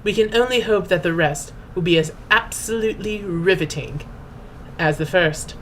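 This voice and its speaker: A woman's voice: lowish voice, normal speed, a bit bright, slight background noise, poor audio. Pompous woman giving a theatrical reading. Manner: pompous, mock-grand, formal delivery. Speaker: host and reader. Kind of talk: theatrical dramatic reading of fan fiction.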